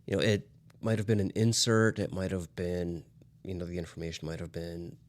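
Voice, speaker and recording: A man hosting a music show, chatting casually and explaining old recordings in a halting way. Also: deep voice, little pitch movement. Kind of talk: casual chat about vintage recordings.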